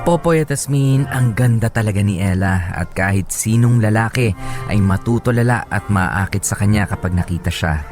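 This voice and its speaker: An actor plays a young man confessing his love story in a Tagalog radio drama. His narration sits in a deep male voice, with faint music underneath. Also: moderate pace, close to an everyday voice. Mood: confessional.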